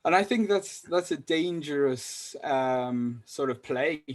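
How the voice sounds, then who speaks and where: A man's voice: fairly high pitch, expressive, takes it slowly. A man from Wales, a salesperson chatting as a podcast guest, rambling with many fillers and restarts. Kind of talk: casual interview chat. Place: lives in Sweden.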